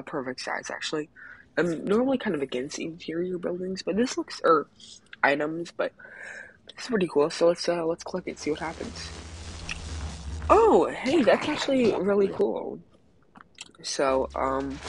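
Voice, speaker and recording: Young man with a slightly raspy voice. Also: fairly high pitch, rough recording. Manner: hesitant, many ums, excited about items. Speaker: mobile game channel host. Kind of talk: casual gameplay commentary.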